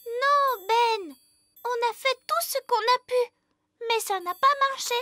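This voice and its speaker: Very high, bright little fairy princess. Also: female voice, slow, soft background music, exaggerated voice. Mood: concerned. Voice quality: girlish, childlike. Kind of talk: French animated children's cartoon dub.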